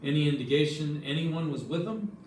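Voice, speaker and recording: A man's voice: fairly high pitch, expressive, brisk, slight background noise, lightly acted. Man acting a wry radio detective, roomy stage sound. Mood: businesslike. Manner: wry, questioning, clipped. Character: dry, probing male insurance investigator narrating his case. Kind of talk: old-time radio detective drama acting. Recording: roomy, distant stage recording with music.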